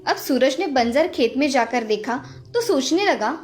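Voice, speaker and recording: A woman narrates a children's tale from a script. Her voice is very high and highly animated, with expressive storytelling delivery and music underneath. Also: unhurried.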